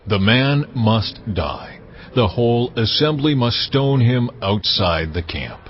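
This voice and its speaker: A man playing God in a dramatised scripture reading, solemn, commanding and measured as he gives laws. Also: medium pitch, animated, slight background noise. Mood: stern. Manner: measured, authoritative, deliberate. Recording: slightly dull audio with music behind. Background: background music.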